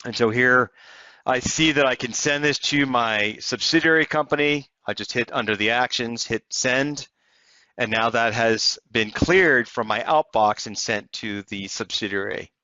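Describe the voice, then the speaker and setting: A man narrating a software tutorial step by step in an explanatory, conversational way. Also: mid-range voice, measured pace, dry recording, lo-fi sound. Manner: explanatory, conversational walk-through. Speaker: software demonstrator.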